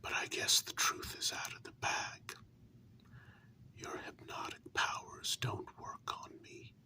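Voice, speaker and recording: A man voicing a hurt human lover confronting a vampire partner in a whispered ASMR role-play, with earnest, deliberate, drawn-out words. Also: pushed and bright. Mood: hurt, resigned. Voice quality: breathy unvoiced whisper.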